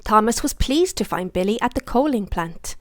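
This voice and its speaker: Female children's story narrator, expressive read-aloud, mid-pitched, highly animated intonation, dry sound. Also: moderate pace, no room sound.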